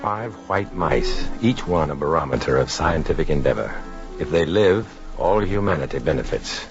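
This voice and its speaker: An actor playing a tense undercover infiltrator, narrating in a clipped, dramatic 1950s radio drama style. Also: male voice, extremely low pitch, expressive, moderate pace. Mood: grave, ominous. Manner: clipped, dramatic, hard-boiled delivery. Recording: muffled 1950s radio recording with music.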